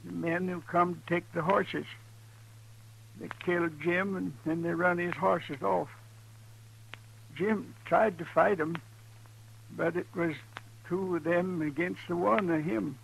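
An actor playing a frightened, simple-minded old ranch hand in a western radio drama, halting and timid. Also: male voice, high-pitched, steady tone, creaky, husky, exaggerated voice. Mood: dazed, fearful. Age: older. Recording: noisy 1950s radio recording with hum.

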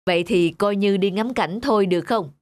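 A man voicing a patient, wise father in a cartoon, reasoning and gently teasing. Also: sits high, fairly quick, dry recording, lightly acted. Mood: coaxing, reasoning. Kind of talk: cartoon voice acting. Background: music.

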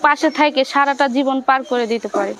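Young woman, Bangladeshi accent, high voice, quick pace, informal interview answers, checks she is understood. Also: background noise. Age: young adult. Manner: repeatedly checks listener understands. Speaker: interview guest, domestic worker. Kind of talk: informal interview, recounting own hardship.

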